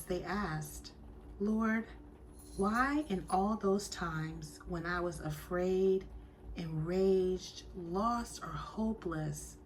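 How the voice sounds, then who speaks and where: A woman telling a story as a guided meditation, soothing and deliberate, with hushed phrase endings. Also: on the low side, slight background noise, low-quality recording.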